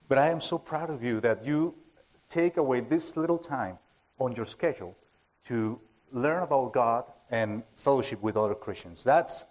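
A man with a Spanish accent, Spanish as his first language, preaching an informal sermon, halting and joking at his own expense with many fillers and restarts. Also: medium pitch, a little mellow. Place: church hall with a full audience.

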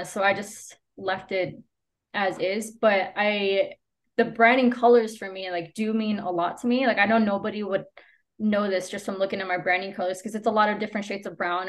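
A woman whose first language is Spanish, chatting casually as a podcast guest, peppering her informal talk with "like". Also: medium pitch. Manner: informal, frequent "like" as filler. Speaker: podcast guest, copywriter and business owner. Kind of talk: casual interview conversation.

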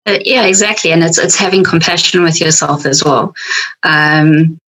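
A middle-aged woman with a South African accent speaks in a fairly low voice. She talks as a coach in an easy podcast chat, frank and reflective, and often slips into voicing her own thoughts. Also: normal speed, a bit bright, rough recording. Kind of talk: podcast conversation.

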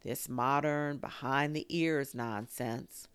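A woman voicing a gloomy old grey donkey who talks in a slow, mournful grumble. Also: very deep voice, steady tone, clean tone, no echo. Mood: gloomy complaining.

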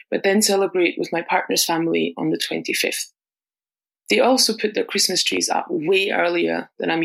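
Brief: woman, heavy gravelly fry, steady read-aloud contributor message. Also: fairly low pitch, rough recording. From Austria. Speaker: podcast contributor. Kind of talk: scripted voice message.

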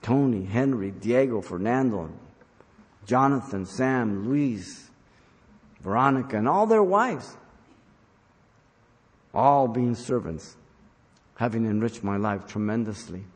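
A man's voice: medium pitch, animated, slow pace, pauses often. An older man preaching a sermon as a pastor, earnest and exhorting, repeating phrases for emphasis. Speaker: pastor preaching to his congregation. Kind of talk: sermon with scripture reading. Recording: long hall reverb.

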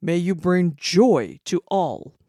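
A woman voicing a mighty male sun god who proclaims slowly and loudly. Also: fairly low pitch, big pitch swings, dry recording, clean studio sound, exaggerated voice. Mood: proclaiming. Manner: loud, slow proclamation.

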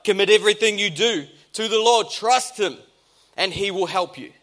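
New Zealand accent, man, pastor, sermon, high-pitched, bright forceful tone. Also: normal speed. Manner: conversational preaching with jokes and emphatic repetition.

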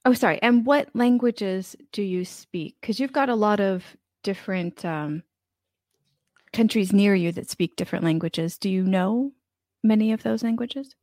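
A woman hosts a casual podcast interview in a mid-range voice. She encourages her guest with warm prompts and steady backchannel "mm-hmm"s. Her sound is close and dry, with no room echo. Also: mid-range voice, moderate pace. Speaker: podcast host.

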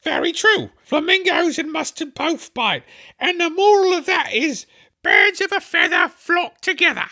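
A man voicing a fussy, moralizing older Duchess in a shrill falsetto, with a sing-song, drawn-out lecturing manner. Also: very animated, gravelly, sharp tone, exaggerated voice. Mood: preachy. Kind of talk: audiobook character voice.

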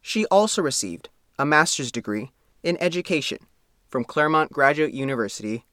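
A man's voice: on the high side, lively intonation, brisk, bright, forceful tone, no echo, clean studio sound. A man reading a scripted feature story as a reporter. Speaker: reporter and narrator.

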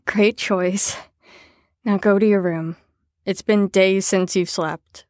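A woman's voice: mid-range voice, fairly even intonation, takes it slowly, a little mellow, no echo, clean studio sound, close to an everyday voice. A woman in an audio drama playing an earnest, caring facility staffer who talks in a reassuring, sincere, slightly rambling way.

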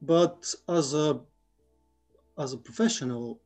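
Man, halting, fairly high voice. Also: darkish tone, faint music behind. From Bulgaria. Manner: halting, with many restarts and fillers. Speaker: guest, 3D artist. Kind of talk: casual interview chat.

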